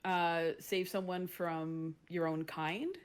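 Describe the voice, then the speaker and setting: A woman's voice: fairly low pitch, steady tone, slow, clean tone. A woman chatting casually and jokingly as a player in a tabletop roleplaying game. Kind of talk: casual, joking group chat.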